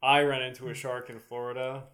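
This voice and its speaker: A male podcast co-host speaks in a medium-pitched voice. He chats casually with friends, dropping in wry, joking remarks. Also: little pitch movement, fairly quick, a bit bright.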